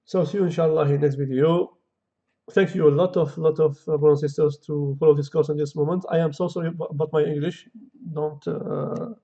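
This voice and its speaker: Gravelly man teaching code. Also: on the high side, dark tone. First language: Kabyle or Arabic. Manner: halting non-native English, thinking aloud with many fillers. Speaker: programming tutor. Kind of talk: casual screen-recorded coding tutorial. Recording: dull lo-fi home recording.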